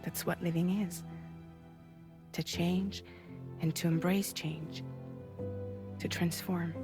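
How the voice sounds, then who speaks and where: A calm female mentor speaks in a low, gentle voice. She is measured, philosophical and partly whispery, in cinematic game style over clear music. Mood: tender, reflective. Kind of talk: cinematic video game dialogue.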